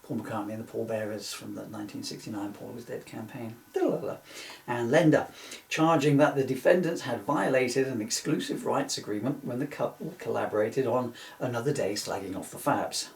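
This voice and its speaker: A man's voice: mid-range voice, brisk, vocal fry. Male reader for comic parody book, loose and rambling, easily amused, recorded off-mic in an echoey room. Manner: rambling, often breaking into giggles at own jokes. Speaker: author reading his parody book. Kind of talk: informal comic book reading with asides. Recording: roomy echo, distant microphone.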